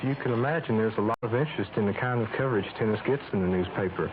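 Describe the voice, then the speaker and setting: An American male sports writer gives an explanatory radio testimonial. His voice sits at a middle pitch. The recording is lo-fi, with noticeable noise. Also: rapid-fire. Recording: dull, noisy radio recording.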